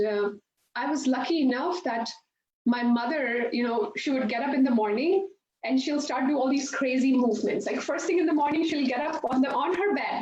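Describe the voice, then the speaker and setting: Chatty woman in a roomy studio. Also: a little high. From India. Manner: chatty and warm, laughs often while telling stories. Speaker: yoga and meditation instructor, guest. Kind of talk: casual interview storytelling. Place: her home yoga studio. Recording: roomy, dull video-call audio with faint noise.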